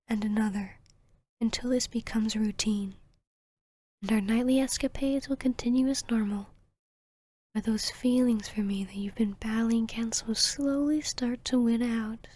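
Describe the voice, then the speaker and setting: A woman playing an arrogant, cruel, seductive vampire queen in a hypnotic ASMR roleplay, teasing and commanding in a breathy whisper. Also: on the high side, steady tone, moderate pace, a little mellow. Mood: sinister, seductive.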